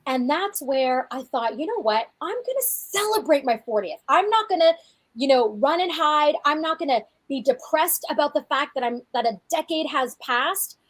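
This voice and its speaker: High-voiced, chatty woman in her forties. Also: normal speed. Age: 40s. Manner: chatty and animated, with frequent restarts. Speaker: fitness coach and podcast co-host. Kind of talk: casual podcast chat.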